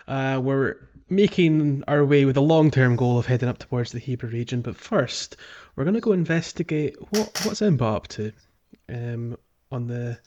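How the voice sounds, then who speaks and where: A man's voice: mid-range voice, darkish tone, highs cut off. A man co-hosting a podcast in casual gaming chat, with a hesitant, rambling delivery. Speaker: podcast co-host. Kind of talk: casual video game chat.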